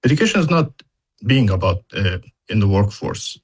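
Male educator, non-native English speaker, low husky voice, explanatory interview delivery with illustrative examples. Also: sing-song, normal speed. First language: not English. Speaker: university educator in data analytics.

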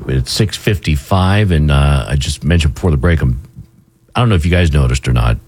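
A man hosting a morning radio show, chatting casually while hoarse from a cold, rambling with lots of fillers. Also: rumbling low, darkish tone, soft background music. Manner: rambling, halting, with many fillers. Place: radio studio.

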